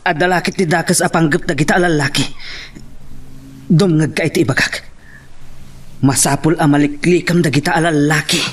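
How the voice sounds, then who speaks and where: A man's voice: a little high, steady tone, brisk, pauses often, soft background music, lightly acted. A man playing a worried, protective young lover in a romance drama, with an Ilocano accent. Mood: worried, urgent. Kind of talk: radio-style romance drama acting.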